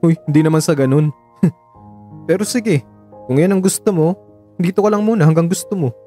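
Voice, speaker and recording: Man acting a mellow, caring young suitor. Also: on the high side, normal speed, natural voice. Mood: light, teasing. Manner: gentle, reassuring, conversational Taglish. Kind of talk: radio drama acting. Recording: voice over background music.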